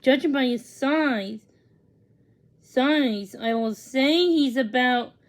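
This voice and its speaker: An eager storybook girl read by a woman, high-pitched and emphatic. She is keen on dogs and sounds sure of what she knows. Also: slow. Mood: admiring. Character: Lizzie, keen, knowledgeable dog-loving girl.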